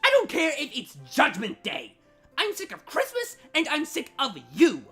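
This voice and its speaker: Sardonic bossy siren woman played by a story reader: high, forceful, snappy and animated. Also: normal speed, soft background music, full character voice. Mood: angry. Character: bossy, sarcastic, irritable female siren, scornful and cutting.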